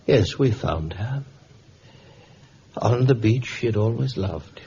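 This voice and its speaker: A mellow, grieving, reclusive father. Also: fairly low pitch, animated, slow pace. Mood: tender, sorrowful. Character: bereaved, reclusive father, gentle and sorrowful. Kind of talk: vintage radio drama acting. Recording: muffled, hissy old radio recording.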